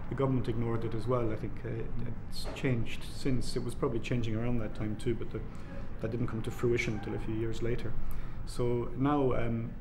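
Male academic interviewee, hesitant and flat, native Irish speaker, brisk pace. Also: medium pitch, background noise. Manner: measured, hesitant with frequent fillers. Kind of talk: relaxed interview answers.

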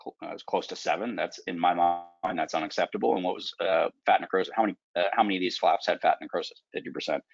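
Deep gravelly man on a muffled call. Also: fast. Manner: frank, conversational, many fillers. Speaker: surgeon lecturer. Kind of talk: recorded lecture with slides. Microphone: video call. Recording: dull lo-fi video call audio.

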